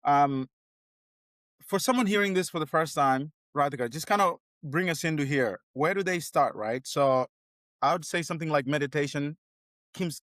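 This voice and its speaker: A man's voice: a little high, a bit bright, no echo, clean studio sound. A man hosting a podcast interview, talking casually in a loose, rambling way. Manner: rambling, loose, full of fillers and restarts. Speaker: podcast host.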